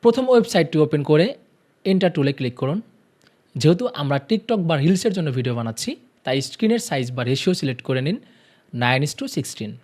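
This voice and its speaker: A man's voice: fairly high pitch, animated, fairly quick, a little mellow. A man presenting an instructional tutorial, guiding viewers directly step by step.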